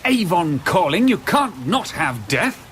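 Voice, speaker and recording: An English actor playing a petty, chatty, deadpan Grim Reaper in a radio comedy. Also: male voice, high voice, slow, slight background noise, heavily acted.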